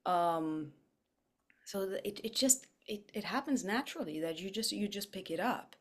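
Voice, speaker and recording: A woman's voice: mid-range voice, expressive, normal speed. A woman raised in Switzerland, Farsi her first language, chatting animatedly as an interview guest with self-deprecating asides. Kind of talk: casual interview chat.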